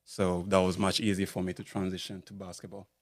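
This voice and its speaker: Deep, flat-voiced man from Rwanda. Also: no room sound. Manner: halting, searches for words, many fillers. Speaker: basketball skills coach. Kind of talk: casual podcast interview. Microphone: studio mic.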